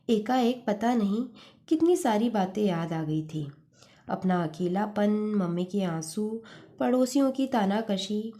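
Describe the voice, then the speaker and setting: A woman narrating a literary story as a storyteller, reading expressively in an earnest, measured manner. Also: mid-range voice, mellow.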